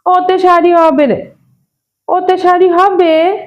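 A woman's voice: very high-pitched, little pitch movement, takes it slowly, voice pushed up. A woman voicing a cheeky, demanding young servant girl in a storybook reading, pert and insistent. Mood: sharp, demanding.

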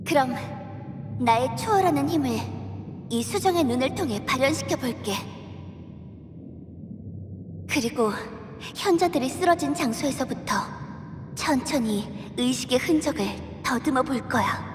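A woman playing an earnest, gentle small young sorceress in a video game cutscene. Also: high-pitched, animated, normal speed, background noise. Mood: earnest, solemn. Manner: earnest, polite. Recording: echoey hall reverb, music underneath.

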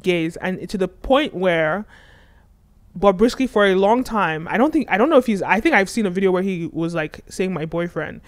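A man hosting a casual podcast discussion, talking quickly and animatedly into a studio boom mic. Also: dry recording. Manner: fast, animated, gestures while talking. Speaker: podcast host. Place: studio lounge with red curtains and leather armchairs. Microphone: studio mic on boom arm.

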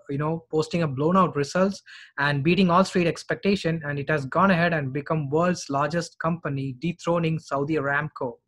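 A man's voice: fairly high pitch, a bit bright. A middle-aged man giving informal investment commentary as a finance vlogger.

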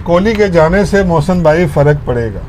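A man's voice: a little high, expressive, normal speed, darkish tone. A man with a Pakistani accent giving opinions in a casual cricket chat, dropping in English phrases. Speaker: cricket pundit. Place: outdoors at a table in a park. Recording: faint outdoor background noise.

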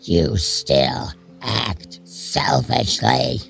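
A man voicing a menacing, taunting inner presence in an audio drama, accusing and relentless, with a gravelly voice. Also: very animated, drawn-out words, a bit bright, faint music behind, full character voice. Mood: cruel, accusing. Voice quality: very deep gravelly voice. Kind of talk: fan audio drama acting.

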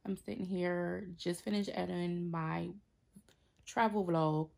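Raspy-voiced young woman vlogging from her couch. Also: on the low side, steady tone, unhurried, darkish tone. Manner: chatty, laid-back, addresses viewers as girl. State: hoarse. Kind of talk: casual vlog talk to camera. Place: home, seated on a sofa indoors. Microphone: phone camera close to face.

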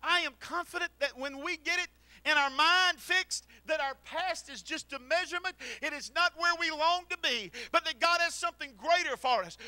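A middle-aged man preaching a sermon into a handheld mic in a church, swinging from shouted exhortation to quiet storytelling. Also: very high voice, no room sound. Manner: preaches while pacing the platform. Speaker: pastor. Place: church sanctuary.

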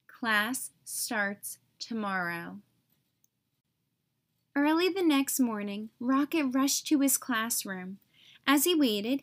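A woman reading a children's story aloud in an expressive, carefully phrased way for young listeners. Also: a little high.

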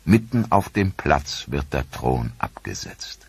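Deep husky documentary narrator man. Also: very animated, dry recording. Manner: dramatic, measured storytelling delivery.

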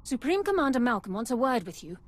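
High-voiced, earnest army captain. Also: female voice, big pitch swings. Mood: firm, courteous. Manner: polite, dutiful, brisk. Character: earnest, upright young female army captain. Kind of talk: video game cutscene acting.

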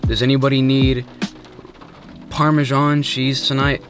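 A man's voice: mid-range voice, flat delivery, over music. A young man answering quirky questions in a casual, joking way, filming himself with a phone in a parked car. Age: young adult. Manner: playful, joking, quick asides. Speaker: vlogger. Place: inside a parked car at night. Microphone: phone held at arm's length.

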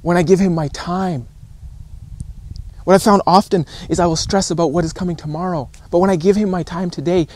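A man in his thirties preaches informally to camera in a voice a little higher than average. He is earnest and deliberate, pausing often and repeating phrases for emphasis. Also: steady tone, darkish tone. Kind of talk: informal recorded sermon to camera. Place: outdoors on a rocky shore by calm water.

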